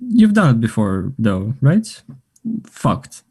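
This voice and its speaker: Actor playing a confident, mellow, flirty man. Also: mid-range voice, big pitch swings, slow pace, slightly rough, exaggerated voice. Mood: teasing, forward. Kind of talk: table read of a stage play.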